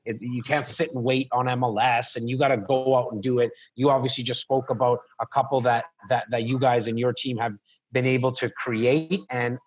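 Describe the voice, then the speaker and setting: A man's voice: mid-range voice, fairly even intonation, normal speed, lo-fi sound. A man co-hosting a video call panel, enthusiastic and prone to repeating himself as he restarts sentences. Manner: enthusiastic, repetitive, stumbling restarts. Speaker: co-host.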